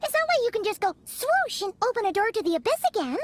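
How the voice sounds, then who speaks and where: A woman voicing a tiny, excitable female travel companion in a video game, talking eagerly and insistently. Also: very high-pitched, fast. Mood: coaxing.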